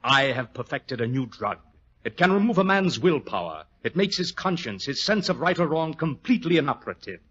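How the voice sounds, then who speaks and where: Sharp-voiced, gloating villain. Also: male voice, a little high, big pitch swings, brisk, slightly rough, heavily acted. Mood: menacing, boastful. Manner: mock-courteous, theatrical. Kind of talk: 1940s radio acting. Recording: muffled vintage radio recording.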